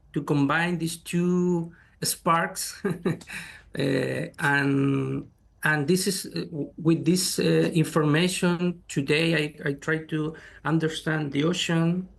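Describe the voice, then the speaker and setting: Slow gravelly chilean guy, spanish accent, lots of uh and um, restarts a lot. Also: on the high side, fairly even intonation, a bit bright. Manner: halting, many fillers and restarts. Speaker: guest researcher. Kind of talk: interview answers.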